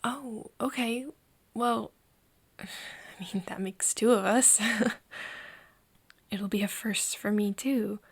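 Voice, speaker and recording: A woman playing a frightened but stubborn human confiding in a vampire friend in an intimate ASMR audio drama, with a husky, breathy voice and hesitant delivery. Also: mid-range voice, full character voice. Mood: hopeful, curious. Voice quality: breathy, husky, often dropping to a whisper. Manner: hesitant, halting, stammering over words.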